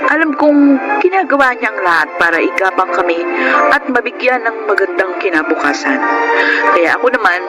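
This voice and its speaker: A woman's voice: mid-range voice, expressive, normal speed, loud music behind the voice, close to an everyday voice. An actress playing a feisty, hot-headed teenage girl in a radio drama, insistent, with a Filipino accent. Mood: earnest, reflective. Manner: combative, whiny, insistent. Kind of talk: emotional radio drama acting.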